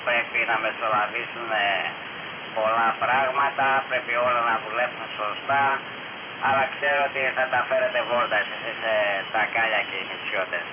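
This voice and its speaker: A man talking casually as a ham radio operator over a radio transmission. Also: medium pitch. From Greece. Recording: dull, hissy, noisy radio link.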